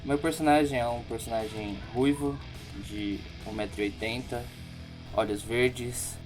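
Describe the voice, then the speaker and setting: A man's voice: medium pitch, fairly even intonation, unhurried, over music, rough recording. A man, a role-playing player, presenting his character to listeners.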